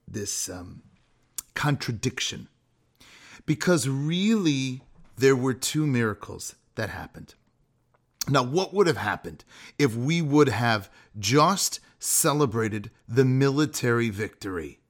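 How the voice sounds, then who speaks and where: A man giving an animated, conversational religious lecture, emphatic, with rhetorical questions and frequent checks on his listeners. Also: mid-range voice.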